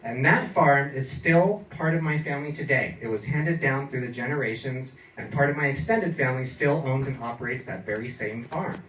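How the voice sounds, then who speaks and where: A man's voice: medium pitch, moderate pace, few pauses, fairly bright tone. An American man from California performing a sardonic stand-up set in a bar's comedy club, punctuating his lines with "okay?". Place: comedy club in a bar, live audience. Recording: roomy distant club recording with crowd.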